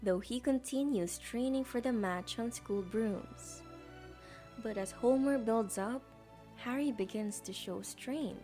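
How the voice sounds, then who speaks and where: A woman's voice: fairly high pitch, unhurried, warm tone, soft background music. A woman reading scripted story narration as the narrator of an audio drama.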